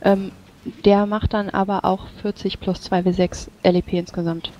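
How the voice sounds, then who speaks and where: A woman's voice: medium pitch, little pitch movement, normal speed. A woman casually explaining game details as a tabletop player, on a lo-fi recording. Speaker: tabletop role-playing player. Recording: dull lo-fi mic, faint noise.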